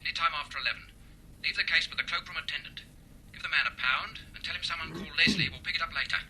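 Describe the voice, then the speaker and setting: A man's voice: a little high, quick pace, bright, forceful tone. An actor playing a terse, commanding male criminal heard on a taped telephone call in a radio drama. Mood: insistent. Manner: terse, insistent. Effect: telephone heard on a tape. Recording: harsh, slightly noisy telephone tape.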